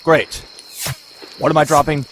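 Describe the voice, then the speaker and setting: A man playing a brash, sarcastic young male antihero in an animated comic adventure. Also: a little high, unhurried, slight background noise, poor audio. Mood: sardonic, defensive. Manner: sarcastic, sardonic.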